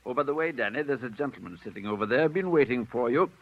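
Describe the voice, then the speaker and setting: An actor playing a sarcastic police records clerk who talks in grand, flowery phrases, in 1940s radio style. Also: male voice, medium pitch, expressive, fast talker, close to an everyday voice. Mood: mocking. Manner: pompous, flowery, mock-servile. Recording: dull old radio recording.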